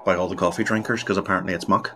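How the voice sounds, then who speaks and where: Deep-voiced male podcast co-host. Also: fairly even intonation, racing, background noise. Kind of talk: casual chat.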